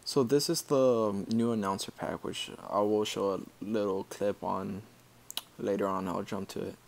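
A man giving a casual screen-recorded software tutorial, explaining step by step in an informal, halting way. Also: on the low side, fairly even intonation, creaky. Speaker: tutorial maker.

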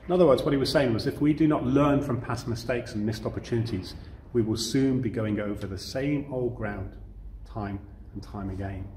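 British male preacher, fifties, mid-pitched, measured sermon delivery with emphatic pauses, reverberant room. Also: a little mellow. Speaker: Salvation Army officer preaching. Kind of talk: sermon to camera for online church service. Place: wood-panelled room indoors. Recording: roomy echo, clear background noise.

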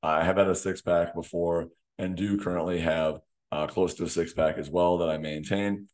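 A man in his thirties, a fitness coach hosting a solo podcast, slightly hoarse from losing his voice, talking in a direct coaching way in a small home gym on a desk mic. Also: deep voice, fairly even intonation, moderate pace, darkish tone. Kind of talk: solo podcast talk to camera. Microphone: desk condenser mic in front of him.

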